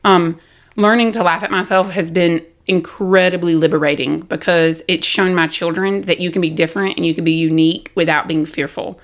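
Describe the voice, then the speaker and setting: A talkative woman with a Southern US accent, speaking candidly and loudly as an interview guest. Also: fairly low pitch, steady tone, a little fast. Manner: loud, talkative, self-deprecating. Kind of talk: candid personal conversation.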